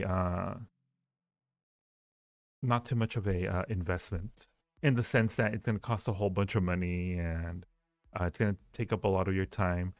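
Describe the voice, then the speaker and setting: A man hosting a livestream, chatting casually with viewers in a rambling way, often repeating phrases as he goes. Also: low-pitched. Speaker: livestream host. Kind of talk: casual chat with live stream viewers, rating ideas. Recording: roomy echo, faint music behind. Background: faint music.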